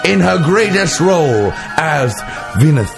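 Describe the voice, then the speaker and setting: A man's voice: a little high, sing-song, unhurried, vocal fry, over music. A man hosting a podcast with campy comic banter in a playful, exclamatory manner. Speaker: podcast host.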